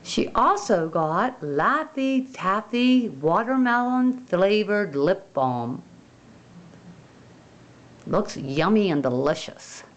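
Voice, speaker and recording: Slow sing-song woman unboxing toys. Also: medium pitch, big pitch swings, lo-fi sound, slight background noise. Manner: slow, gentle, sing-song show-and-tell. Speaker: toy unboxing host. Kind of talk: toy unboxing narration.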